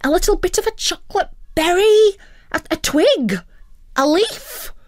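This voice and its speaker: A woman's voice: animated, a little slow, no echo, clean studio sound. Woman doing a whiny greedy turkey. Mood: whiny pleading. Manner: pleading, high and wailing. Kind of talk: children's storybook reading.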